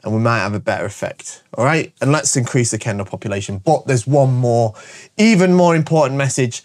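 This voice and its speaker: A man's voice: medium pitch, fairly quick. A man hosting his show, his voice stuffy and bunged up from a cold, talking energetically and jokily, tagging "all right?" onto his points. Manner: energetic and jokey, repeating "all right?" often. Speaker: show host and shop owner. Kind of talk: casual promotional monologue to camera.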